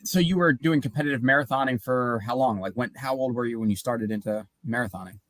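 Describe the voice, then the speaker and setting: A man's voice: medium pitch, fast, no room sound. A man hosting a casual interview, asking curious questions and rephrasing them as he goes. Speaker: podcast host.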